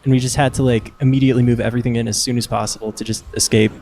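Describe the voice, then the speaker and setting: Relaxed laughing man guest. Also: medium pitch, racing, vocal fry, faint music behind. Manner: relaxed, laughs easily, uses fillers. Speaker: guest musician. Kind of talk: casual interview chat.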